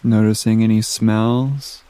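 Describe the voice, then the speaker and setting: A man guides a meditation at an unhurried, very slow pace. His voice is mellow and relaxed, with gentle, soothing instructions separated by long pauses. The sound is dry, with no room echo. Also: lowish voice, clean tone.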